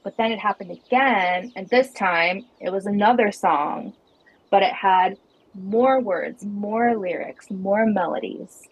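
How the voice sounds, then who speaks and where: A woman plays an inquisitive camper in a radio play. She has a medium-pitched voice and speaks in an earnest, reflective, conversational way. The sound is very dry and a little dull. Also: takes it slowly, slightly rough, no room sound. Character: earnest, inquisitive female camper with creative blocks. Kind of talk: conversational audio drama dialogue.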